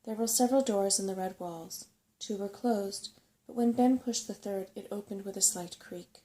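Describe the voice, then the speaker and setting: A soft-spoken woman narrating a bedtime story in an ASMR style. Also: mid-range voice, normal speed, rough recording.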